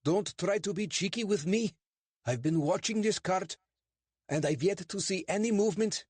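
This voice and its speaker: A man voicing a portly, suspicious English constable who questions in a sharp, demanding way. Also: sits high, moderate pace, husky, no echo, voice pushed up.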